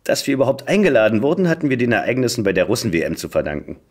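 A man giving an expressive reading of a satirical first-person story, with a dry, wry comic delivery. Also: mid-range voice, very fast. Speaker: podcast guest, voice actor.

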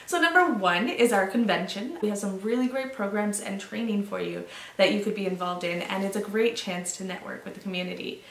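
An enthusiastic woman in her thirties talks straight to the camera, running through a list off the top of her head. Her voice has a middle pitch and sits a little back from the microphone. The small room around her gives off a noticeable echo. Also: fairly quick. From Canada. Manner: enthusiastic, off-the-cuff listing. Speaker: association representative. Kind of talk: upbeat promotional to-camera talk. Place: small indoor room with brick wall. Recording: roomy echo, slightly distant from mic.

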